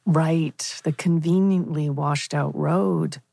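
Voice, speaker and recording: Actress as weary, suspicious wife in a TV drama, very low voice with vocal fry. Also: female voice, steady tone, slow pace, warm tone, full character voice. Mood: suspicious, curt. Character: cool, suspicious, weary middle-aged wife. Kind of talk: live table read of TV drama script.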